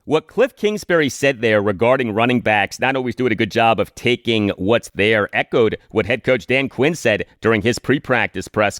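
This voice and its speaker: A man hosting a sports analysis show, speaking in a measured, deliberate way and reciting statistics formally. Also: fairly low pitch, fairly bright tone, dry recording, studio quality. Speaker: sports podcast host.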